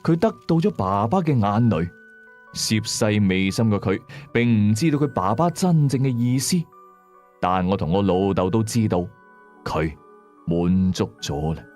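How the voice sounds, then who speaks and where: Man, Hong Kong Cantonese accent, low pitch, horror storyteller, first-person narration, music bed. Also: animated, normal speed, a little mellow.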